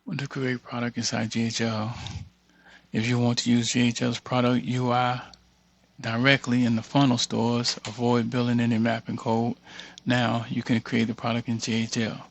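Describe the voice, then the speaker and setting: Gravelly American man, husky and informal. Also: mid-range voice, completely flat delivery, moderate pace. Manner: informal, muttering asides to himself. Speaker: software tutorial host. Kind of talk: casual screen-share walkthrough, thinking aloud and reading screen text.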